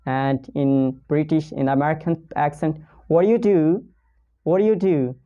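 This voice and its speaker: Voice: mid-range voice, normal speed, phone-quality sound. A teacher with a Pakistani accent giving a casual pronunciation lesson in non-native English, repeating example sentences and checking in with "okay?".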